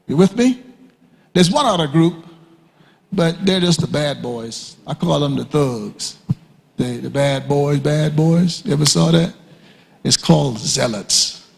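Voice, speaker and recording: A man teaching a sermon at a conference, emphatic and repetitive, often leaping high to act out quoted speakers. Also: vocal fry. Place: church hall. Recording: reverberant hall.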